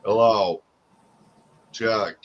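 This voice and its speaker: An older man from Wisconsin with a deep, low voice chats in a slow, easygoing way on a livestream, cracking jokes and restarting his sentences. His sound is a little dull, with a faint hiss behind him. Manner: laughs often, jokes, trails off and restarts. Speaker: livestream co-host. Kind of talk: casual chat.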